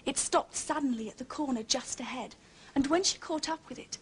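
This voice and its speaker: A well-bred, chatty English girl, played by an actress in a period detective drama. Her high upper-class voice is confident and matter-of-fact, with jolly old-fashioned manners. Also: fairly quick, a little hiss or hum, lightly acted. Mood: earnest storytelling.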